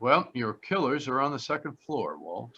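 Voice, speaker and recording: Man acting a bright, wry radio private eye. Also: medium pitch, very animated, moderate pace, breathy edge, exaggerated voice. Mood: assured, deducing. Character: confident male private detective, brisk and wry. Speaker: actor. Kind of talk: old-time radio detective acting. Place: live online video call. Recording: slightly dull video-call audio.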